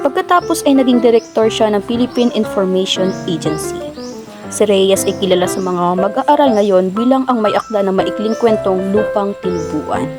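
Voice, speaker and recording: A woman reading a scripted introduction as narrator of a programme. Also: medium pitch. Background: loud music.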